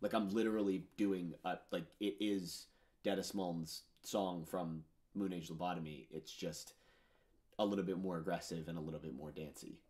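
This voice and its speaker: Rambling thirties man, low voice. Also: steady tone, normal speed, a little mellow. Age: 30s. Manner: rambling, filler-heavy, enthusiastic. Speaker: musician discussing his album. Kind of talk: casual commentary on his own songs. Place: home studio with purple lighting.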